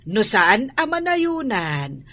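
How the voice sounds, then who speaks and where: A woman's voice: fairly high pitch, big pitch swings, slow, sharp tone, full character voice. A woman playing a blunt, bossy busybody who nags emphatically in a radio drama. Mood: mocking, nagging. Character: bossy, blunt, scolding female cousin and busybody.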